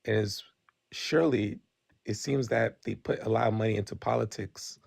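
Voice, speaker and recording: A man's voice: on the low side, normal speed, gravelly, darkish tone, dry recording. A Nigerian-born man, talking bluntly in a casual podcast debate and tagging his points with "right?". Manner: candid, blunt, frequent restarts and "right?" tags.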